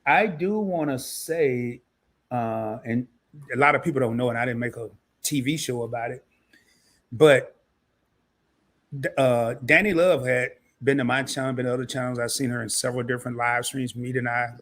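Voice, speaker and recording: A man's voice: mid-range voice, normal speed. A man hosting a casual live-stream interview, slangy and joking at his own expense, his voice a little scratchy tonight. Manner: animated, slangy and laughing at himself. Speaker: host.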